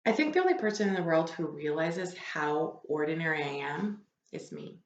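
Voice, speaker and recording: Lady teacher doing a read aloud for her class, lowish voice, chatty explaining, roomy echo. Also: animated, normal speed, lo-fi sound, a little hiss or hum. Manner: conversational, explanatory, poses questions to listeners. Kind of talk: read-aloud with asides to students.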